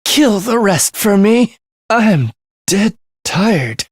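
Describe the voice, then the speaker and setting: An actor playing a morbid young man in a video game, a soft-spoken killer who is languid, menacing and gleefully excited by death. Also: high voice, drawn-out words, husky, dry recording, studio quality, exaggerated voice. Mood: eager, combative. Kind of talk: theatrical video game voice acting.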